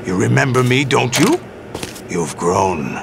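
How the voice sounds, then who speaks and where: Gravelly, commanding villain, sweeping delivery. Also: male voice, mid-range voice, animated, very noisy. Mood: menacing nostalgia. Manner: deliberate, dramatic, authoritative. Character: commanding older male villain, calculating former warlord. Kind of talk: video game cutscene acting.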